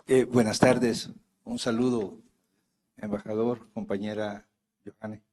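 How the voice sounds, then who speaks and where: An older man from El Salvador speaking from the floor at a public talk, measured and formal. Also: lowish voice, little pitch movement, a little mellow. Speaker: audience member, political exile.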